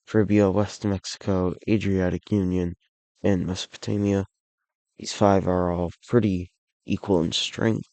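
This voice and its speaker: A man with a low, gravelly voice talks through a map video in a relaxed, wandering way, stopping and restarting as he goes. He sounds hoarse and a little unwell. The recording is dry and close, with no room sound. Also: no echo. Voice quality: raspy. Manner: rambling, with frequent restarts and self-corrections. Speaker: alternate-history map series creator. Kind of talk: casual map narration.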